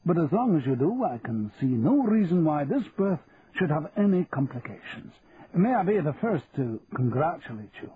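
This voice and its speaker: A man voicing a cheerful, courteous doctor with a soft Scottish accent in a story reading. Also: a little high, very animated, normal speed, narrow, tinny audio, slight background noise, pitched above the performer's own voice. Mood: cheerful, congratulatory.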